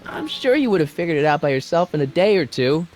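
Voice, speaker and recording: A man playing an earnest, quick-witted teenage boy sidekick in a video game, with a casual, coaxing way of talking. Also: on the high side, sing-song, soft background music. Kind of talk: animated video game character acting.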